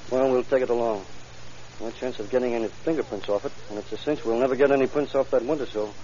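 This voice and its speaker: Man playing quick no-nonsense cop, mellow dark voice, old muffled radio drama. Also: medium pitch, steady tone, natural voice. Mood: businesslike. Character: brisk, no-nonsense male police detective. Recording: dull old radio recording.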